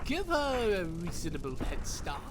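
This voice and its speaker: A woman's voice: fairly low pitch, sing-song, moderate pace, over music. A woman playing a sly, playful predator who serves a dragon, teasing and theatrical in a fantasy audio drama. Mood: sly, taunting. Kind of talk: fantasy audio roleplay acting.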